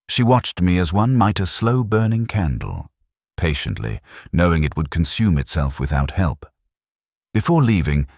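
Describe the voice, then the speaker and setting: Guy doing a weary inspector telling his case, super deep voice, moves around a lot in pitch, gloomy and literary. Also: slightly rough, dry recording, studio quality, exaggerated voice. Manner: measured, literary, melancholy. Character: weary, brooding, literate male English police inspector narrating. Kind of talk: scripted first-person detective narration.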